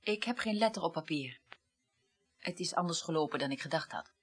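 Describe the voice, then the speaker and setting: A woman's voice: deep voice, animated, brisk. An actress playing a detached, wry female former reporter in a radio play, speaking articulately and dryly. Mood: matter-of-fact. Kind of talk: 1980s Dutch radio play acting.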